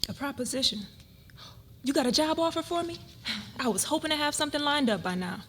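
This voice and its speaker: A woman's voice: high-pitched, lively intonation, fairly quick. A woman playing a wary, curious, outspoken young college student in a dramatic reading of a novel. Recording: noisy live event recording.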